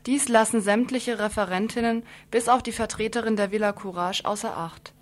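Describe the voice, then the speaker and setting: Higher-voiced woman reading a radio report. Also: steady tone, moderate pace. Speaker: radio news presenter. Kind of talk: scripted radio report, read out.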